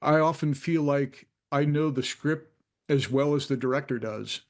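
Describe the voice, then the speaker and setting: A man's voice: medium pitch, moderate pace. A man working as a sound effects designer, talking casually in an interview.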